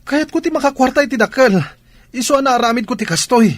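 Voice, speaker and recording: A man playing a lazy, scheming married younger brother in a Filipino radio comedy-drama, with a husky voice and a Filipino accent. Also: very high voice, animated, a little fast, dry recording. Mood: defensive.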